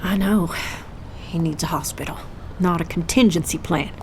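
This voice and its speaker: A woman's voice: fairly low pitch, normal speed, darkish tone, a little hiss or hum, lightly acted. An actress playing a caring, plainspoken woman in an audio drama. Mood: anxious.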